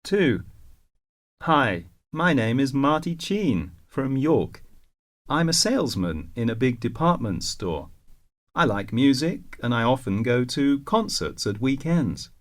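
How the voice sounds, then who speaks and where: Male reader for a textbook listening track, unhurried pace, lively rise and fall. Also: medium pitch. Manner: slow, careful, clearly enunciated. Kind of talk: scripted textbook listening exercise reading.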